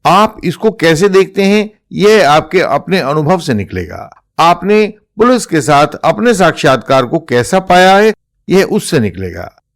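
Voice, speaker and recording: A man with an Indian accent giving an explanatory legal lecture in a measured, formal manner. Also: a little high, big pitch swings. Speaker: presenter explaining court judgments.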